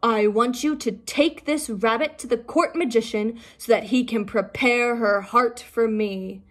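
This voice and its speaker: A woman's voice: a little high, measured pace, a bit bright. An imperious giant Dragon King from a storybook, acted out by a woman reading aloud. He is ailing and impatient, and addresses lesser creatures with pompous, weighty command.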